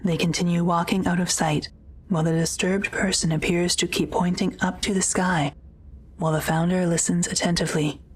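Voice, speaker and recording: A woman's voice: fairly low pitch, level tone, brisk, husky. A woman reading scripted present-tense narration for an audio drama, as the narrator.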